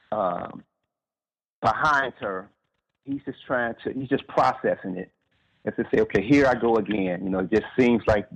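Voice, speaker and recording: A man answering interview questions as a guest author, explaining in measured, conversational phrases with pauses. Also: mid-range voice, vocal fry, slightly rough, highs cut off.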